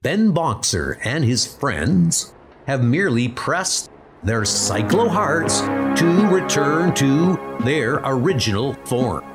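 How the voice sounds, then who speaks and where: Dramatic male narrator, slow and expressive. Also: medium pitch. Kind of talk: dramatic scripted comic narration. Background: music.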